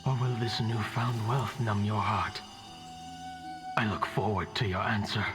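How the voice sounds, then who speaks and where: An actor playing a solemn scholar in a video game, speaking in a grave, measured way about his legacy. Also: lowish voice, steady tone, lightly acted. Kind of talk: scripted dramatic game dialogue. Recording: music under the voice.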